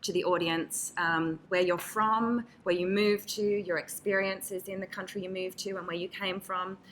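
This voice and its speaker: A woman's voice: medium pitch, steady tone, moderate pace, a bit bright, slight background noise, poor audio. A woman giving a prepared, chatty talk as a nurse introducing and interviewing a panel guest. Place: event room with an audience.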